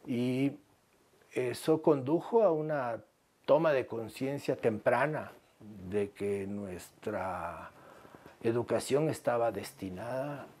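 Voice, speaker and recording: A man in his seventies with a Guatemalan accent, recalling his life in a measured interview with halting pauses. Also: fairly low pitch, darkish tone, husky. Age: 70s. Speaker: researcher telling his life story. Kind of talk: reflective interview narration. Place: small book-lined room indoors.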